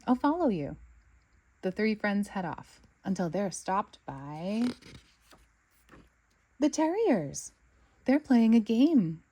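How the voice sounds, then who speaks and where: A woman reading a children's storybook aloud with expressive narration. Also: mid-range voice, slow, pauses often, breathy edge. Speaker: storybook reader.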